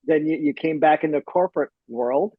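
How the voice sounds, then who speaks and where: Casting: male interview host, slightly high voice, friendly, stumbling repeats; dull, dry call audio. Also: moderate pace, no room sound. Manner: friendly, repeats words often. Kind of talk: interview hosting.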